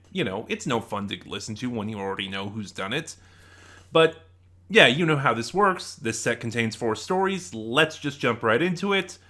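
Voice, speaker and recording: A man with a mid-pitched voice hosts a casual review. He talks conversationally, as if chatting to regular followers, and often hedges or drifts into small asides. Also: a bit bright. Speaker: host of a review channel.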